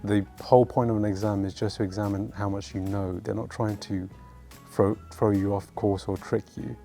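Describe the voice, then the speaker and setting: A low, mellow male voice with little rise and fall. He is a medical student from England, answering interview questions with calm, matter-of-fact advice. Soft music plays faintly behind him. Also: normal speed, creaky.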